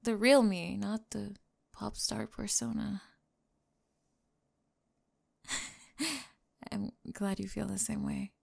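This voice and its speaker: Woman acting as sweetly smitten young female pop star, slow pace, slightly creaky, breathy, hesitant, ASMR romance roleplay. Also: mid-range voice, little pitch movement, heavily acted. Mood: tender, intimate. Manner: soft-spoken, breathy, giggly and hesitant.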